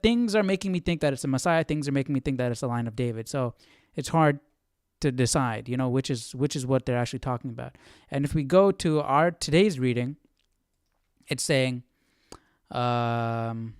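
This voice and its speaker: A man hosting a casual live Bible study, thinking aloud in a halting way and asking rhetorical questions as he goes. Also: mid-range voice, clean tone, no echo, studio quality.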